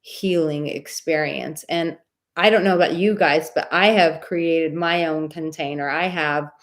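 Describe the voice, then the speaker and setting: Chatty woman advice host, lowish voice. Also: fairly even intonation, unhurried. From Czech heritage. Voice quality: soothing voice. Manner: warm, affectionate, chatty with filler words. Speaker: host of a live dating advice stream. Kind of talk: casual live stream chat, reading viewer comments.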